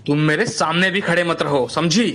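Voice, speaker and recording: A man's voice: on the high side, moderate pace, fairly bright tone, faint music behind, natural voice. A man playing a young husband and dutiful son in a storybook tale. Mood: angry. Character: young husband, obedient son who turns harsh.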